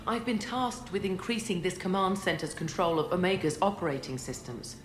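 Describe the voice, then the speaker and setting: A woman plays a businesslike tech officer in a video game. Her lowish voice is polite and matter-of-fact, in a very echoey room. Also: expressive, fairly quick, a little hiss or hum, close to an everyday voice. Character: businesslike female technician at a command center. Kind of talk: cinematic video game acting.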